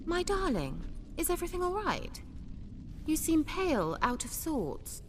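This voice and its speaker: A woman's voice: high-pitched, big pitch swings, measured pace, big-room sound, noisy background. An actress playing a prim, disapproving middle-aged noblewoman mother in a fantasy game. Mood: concerned. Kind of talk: dramatic video game acting.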